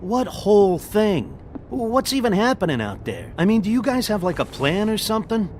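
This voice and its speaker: A man's voice: sits high, big pitch swings, moderate pace, a little mellow, a little hiss or hum. A man voicing a blunt, wisecracking talking pig in a video game, loyal and slangy in manner. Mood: challenging, worried. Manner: sardonic, slangy, informal.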